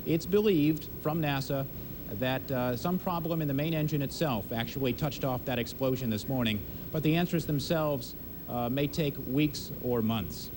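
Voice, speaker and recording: A man with an American accent gives a live television report in a medium-pitched voice. His delivery is measured and halting, over clear background rumble in an old broadcast recording. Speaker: television news correspondent. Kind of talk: live field report, semi-improvised. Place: inside a large space training building.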